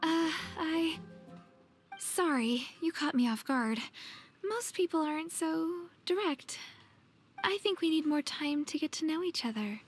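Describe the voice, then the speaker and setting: A woman's voice: high voice, lively intonation, measured pace. A woman playing a demure, polite, slightly flustered young fortune teller in a video game. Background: video game music.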